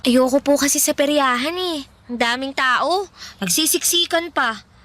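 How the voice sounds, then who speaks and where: A woman's voice: high voice, moderate pace, a bit bright. A shy homebody girl. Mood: reluctant. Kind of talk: classic radio drama acting.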